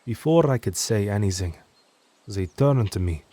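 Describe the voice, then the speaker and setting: A man's voice: lowish voice, animated, moderate pace, dark tone, no room sound. A man reading a scripted first-person horror story as narrator.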